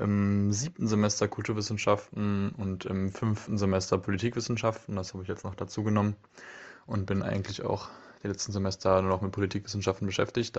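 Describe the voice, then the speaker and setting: A man in his twenties speaks at a low pitch with a flat melody, slowly and hesitantly. The phone voice message sounds dull and lo-fi. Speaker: student. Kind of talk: voice message introduction.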